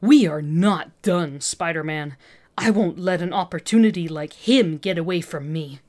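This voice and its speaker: A woman's voice: fairly low pitch, moderate pace, a little mellow, close to an everyday voice. A woman voicing an obsessive, villainous female scientist who bellows, hisses and gloats in a dramatic story reading. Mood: furious, menacing.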